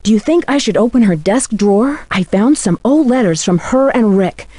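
A woman's voice: fairly high pitch, animated, moderate pace, mellow, dry recording. A woman playing a curious, polite young amateur detective in scripted video game dialogue, earnest and inquisitive in manner. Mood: earnest, investigating.

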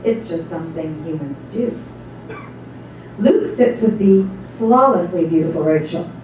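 A woman's voice: fairly low pitch, animated, unhurried. A woman narrating a literary text in a dramatic stage reading. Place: small indoor theatre stage before an audience. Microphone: distant camera microphone. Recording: echoey hall, distant microphone, faint noise.

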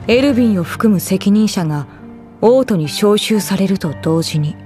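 An actor playing a solemn, impersonal narrator of an anime game's story, formal and measured in delivery. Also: male voice, high-pitched, expressive, warm tone, faint music behind. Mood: grave. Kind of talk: scripted narration in anime game.

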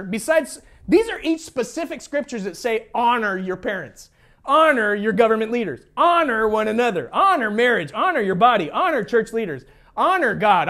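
An American man with a high voice gives a sermon to his congregation. His pitch moves in lively swings as he teaches, informal and conversational, restating key phrases for weight. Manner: informal, jokey asides, repeats phrases for emphasis. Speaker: pastor.

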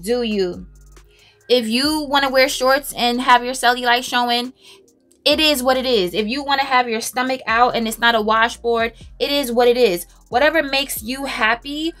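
A woman's voice: fairly high pitch, moderate pace, fairly bright tone, faint music behind. A woman, chatting casually and emphatically while doing her makeup in a home closet. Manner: chatty, emphatic, self-assured, laughs often. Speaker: beauty vlogger. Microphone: camera on a stand, close.

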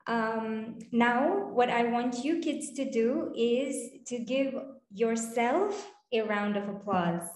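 A cheerful young woman with a fairly high voice hosts a children's online session. She is encouraging as she explains, and the video call sounds echoey, as from a bare room. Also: slow pace, few pauses, darkish tone. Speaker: host of children's online storytime. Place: indoor room with bare wall. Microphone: video call, computer microphone. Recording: roomy, echoing video call.

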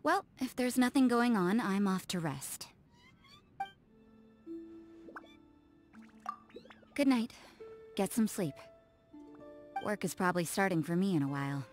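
A woman playing an aloof, cynical, hard-drinking nun in a video game, speaking in a cool, dry, deadpan way. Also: medium pitch, moderate pace, faint music behind, close to an everyday voice. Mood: aloof, teasing. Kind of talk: English video game voice acting.

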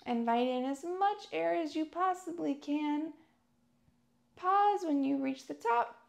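A woman teaching yoga, leading a guided meditation in a calm, soothing, deliberate way with pauses between phrases. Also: sits high, gravelly.

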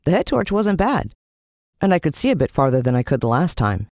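An American woman narrates a children's adventure story. Her delivery is animated, with a wide swing in pitch. The sound is dry and close. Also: fairly quick, dry recording. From United States. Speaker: audiobook narrator.

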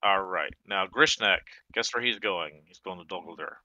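A man casually commenting on a card game, narrating his moves deliberately in short phrases. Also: low voice, pushed and bright, no echo. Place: online voice call over a card game. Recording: dry, slightly dull sound.

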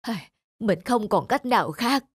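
A woman's voice: fairly high pitch, expressive, a little slow, noisy background. A woman voicing a humble, guilt-ridden poor old man in a cartoon, sorrowful and blaming himself. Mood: sorrowful, remorseful. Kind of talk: scripted cartoon dub. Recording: music under the dialogue.

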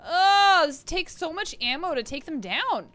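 A woman's voice: very high-pitched, expressive, unhurried, a bit bright, dry recording. A young woman live streaming a video game with casual, giggly commentary and some easy swearing.

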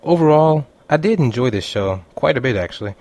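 A man's voice: medium pitch, expressive, a little fast, darkish tone, dry recording, soft background music. Man narrating a scripted review. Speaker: anime reviewer.

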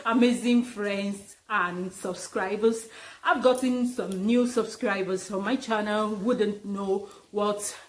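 A woman's voice: medium pitch, steady tone, slow, low-quality recording. A woman in her thirties whose first language is not English, introducing her vlog channel to camera from a living room, laughing often and talking expressively. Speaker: vlogger.

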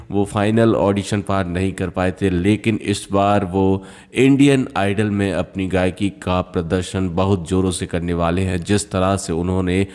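A man's voice: low voice, darkish tone, long reverb tail. A man giving casual promotional commentary on a singing show as a video narrator, addressing viewers as friends. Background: music.

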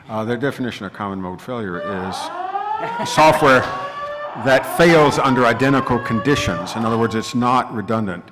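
A man's voice: on the low side, noisy background. A middle-aged man speaking on a conference panel, thoughtful and digressive, restarting his sentences. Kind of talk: panel discussion. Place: large conference hall. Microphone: table microphone. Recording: reverberant conference hall.

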